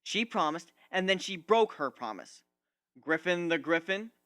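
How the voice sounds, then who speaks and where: Narrator doing a grumpy wounded griffin guy in a story, ranting hard, voice pushed up high and swinging around. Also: lively intonation, a little slow, a bit bright, dry recording. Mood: defensive, angry. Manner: ranting, emphatic, aggrieved. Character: indignant, wounded, sarcastic young male griffin.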